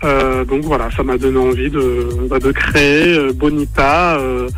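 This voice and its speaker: A man answering questions in a radio interview, halting and full of fillers and restarts. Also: medium pitch, steady tone, a bit bright, soft background music. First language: French. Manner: hesitant, many fillers and restarts. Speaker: guest artist, singer and actor.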